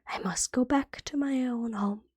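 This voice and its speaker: A woman reading a fairytale as ASMR in a soft, breathy whisper, gently and carefully, like a bedtime storybook. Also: a little high, measured pace, no room sound.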